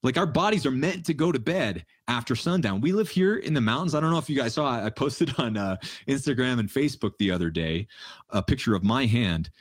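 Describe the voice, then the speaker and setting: Husky man chatting on a livestream. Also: mid-range voice, fairly quick, no room sound, clean studio sound. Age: 30s. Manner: relaxed, rambling, laughs often. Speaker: livestream Q&A host. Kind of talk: casual chat answering listener questions. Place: home in the mountains.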